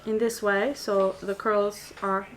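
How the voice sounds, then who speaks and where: A woman's voice: medium pitch, little pitch movement, slow, slight background noise, low-quality recording. A woman whose first language is Albanian, chatting as she teaches paper flower making on a live stream, with many fillers and restarts. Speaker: paper flower crafter and tutor. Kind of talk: live-stream craft tutorial, reading comments.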